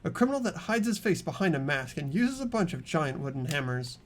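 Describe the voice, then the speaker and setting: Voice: mid-range voice, big pitch swings, fairly quick, faint music behind. A narrator reading scripted, dramatic visual novel text as the protagonist of a game playthrough.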